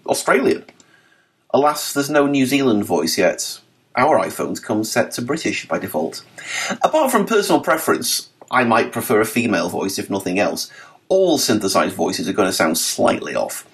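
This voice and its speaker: A man narrating a written article for a podcast, reading with a lively, articulate delivery and dry wit. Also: medium pitch.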